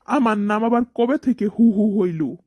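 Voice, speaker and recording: A man's voice: high voice, measured pace, a little mellow, no echo. A man voicing a teasing, contrary older brother in a comic cartoon, mocking and argumentative, with a Bangladeshi accent.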